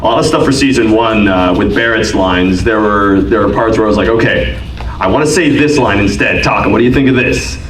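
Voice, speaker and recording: A man chatting in a relaxed way on a convention panel, recorded from the audience in a large hall. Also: lowish voice, fairly quick. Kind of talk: casual panel talk. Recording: echoey hall from far off, background noise.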